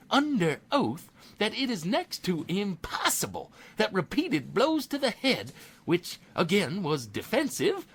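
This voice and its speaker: A man playing a pompous, bigoted Southern defense attorney with a husky voice, orating in a courtroom audio drama. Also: sits high, a bit bright, heavily acted. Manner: pompous, rhetorical, goading.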